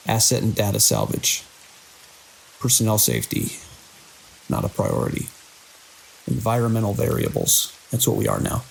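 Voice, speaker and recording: An actor playing a grim, embittered security chief in an audio drama, speaking in terse, grave and urgent lines. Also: male voice, lowish voice, creaky, full character voice, rough recording. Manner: terse, grave official log-keeping and urgent radio talk. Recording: faint hiss with rain-like noise.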